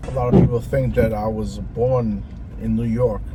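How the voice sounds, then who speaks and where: A man from Guyana narrating his own vlog documentary in a relaxed, conversational way. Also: on the low side, normal speed, faint music behind, poor audio. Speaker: vlogger. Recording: loud street noise behind.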